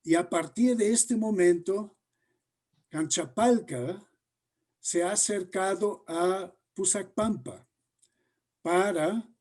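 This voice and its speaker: A man explaining his research in an interview, deliberate and halting, often pausing mid-phrase. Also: high-pitched, dark tone. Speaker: interviewed sociologist.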